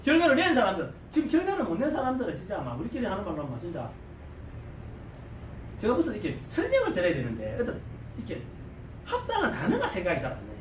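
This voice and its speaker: A middle-aged man with a strong Gyeongsang accent gives a joking, animated seminar lecture, often quizzing his audience with rhetorical questions. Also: extremely high pitch, noisy background. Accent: Gyeongsang Korean. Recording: echoey hall, mic at a distance, faint ambience.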